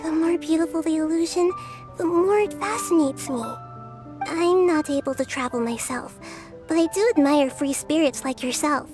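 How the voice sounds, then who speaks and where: A woman voicing a gentle, mysterious bird spirit in a video game, soft-spoken, serene and wistful. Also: high voice, moderate pace, music in the background, natural voice. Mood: serene, admiring.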